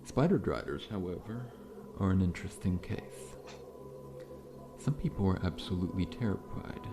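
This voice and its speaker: A man playing a massive, friendly but faintly menacing scorpion-man dentist in an ASMR roleplay, speaking in a gentle, reassuring, politely formal way. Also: sits low, expressive, normal speed. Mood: soothing, friendly. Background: background music.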